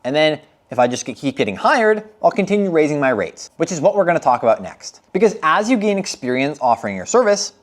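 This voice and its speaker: A young man explaining freelancing in a relaxed, conversational way, as if talking straight to the viewer. His pitch moves a lot, rising and dipping often. He keeps checking in by tagging "right?" onto his points. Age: young adult. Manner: conversational, frequent tag "right?". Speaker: freelancing coach. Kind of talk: casual tutorial to camera.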